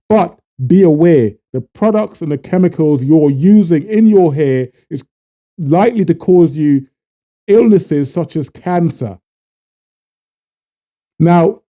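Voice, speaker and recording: Very dark-voiced man. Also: fairly high pitch. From Trinidad. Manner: earnest, halting with many fillers. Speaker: vlogger. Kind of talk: casual talk to camera.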